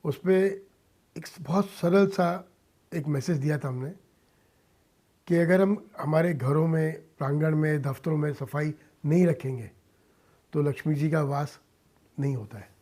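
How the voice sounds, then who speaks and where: A mature Indian man speaking as an interview guest, earnest and emphatic, in deliberate short phrases with some English mixed in. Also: on the high side, warm tone. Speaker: interview guest, social-cause filmmaker and philanthropist. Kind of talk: earnest radio interview talk.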